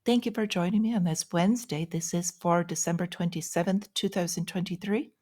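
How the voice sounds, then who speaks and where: Lower-pitched woman giving market commentary. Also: fairly even intonation, a little fast, dark tone. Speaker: host of a daily finance update show. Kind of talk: informal market news commentary.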